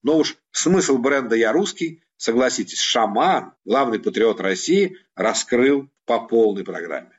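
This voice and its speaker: A man's voice: mid-range voice, lively intonation, a little slow, hardly any pauses, breathy edge, highs cut off. A man from Ukraine, a political analyst, giving mocking, sarcastic commentary.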